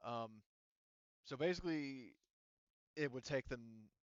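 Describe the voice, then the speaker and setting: A man's voice: medium pitch, takes it slowly, clear, resonant tone, no echo, muffled recording. A man running a tabletop roleplaying game as game master, talking casually and thinking aloud in a rambling way.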